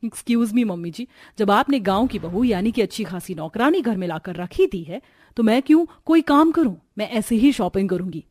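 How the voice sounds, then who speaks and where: A woman's voice: mid-range voice, expressive, normal speed, darkish tone, no room sound, lightly acted. A woman voicing a snobbish, irritable city sister-in-law in a cartoon, scornful and mixing English into her Hindi. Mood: defiant.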